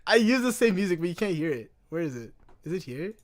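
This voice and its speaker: A man's voice: high-pitched, sing-song, fast talker. A man streaming, chatting casually and animatedly as he reacts to videos, swearing and laughing along.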